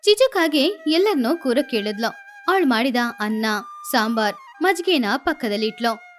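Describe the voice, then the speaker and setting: A woman narrates a scripted children's story. Her voice is high-pitched, and soft music plays behind her. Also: animated, normal speed.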